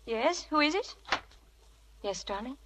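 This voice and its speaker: An actress playing an anxious, devoted young wife, proper and earnest, in a 1940s radio drama. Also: female voice, high-pitched, expressive, a little slow, heavy background noise. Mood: timid. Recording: vintage radio broadcast with rough audio.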